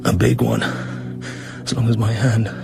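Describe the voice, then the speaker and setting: An actor playing a cool British secret agent in a radio drama, speaking in terse, clipped and often hushed phrases. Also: male voice, lowish voice, little pitch movement, slow pace, gravelly, exaggerated voice. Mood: tense, hushed. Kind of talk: radio drama acting with internal monologue. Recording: radio drama with music underneath.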